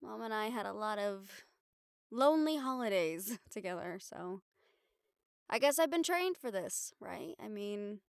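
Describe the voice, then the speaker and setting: A woman acting a young reporter girlfriend in an intimate audio roleplay, wistful but bravely upbeat, musing in halting, expressive phrases. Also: medium pitch, vocal fry, clean tone, no room sound, studio quality. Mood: hopeful, reassuring.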